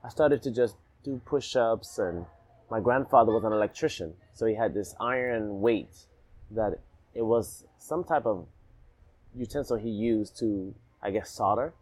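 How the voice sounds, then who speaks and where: A man from Alabama who grew up partly in Jamaica, telling his story as a podcast guest in a reflective, animated way. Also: lowish voice, unhurried. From Alabama, raised partly in Jamaica. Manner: reflective, animated, rhythmic repetition. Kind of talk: casual storytelling in a podcast interview.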